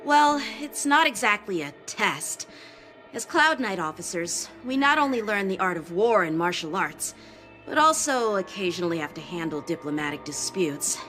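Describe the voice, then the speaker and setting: An earnest young officer. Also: female voice, mid-range voice, sing-song, normal speed, sharp tone, faint music behind. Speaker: military officer. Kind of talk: game voice acting.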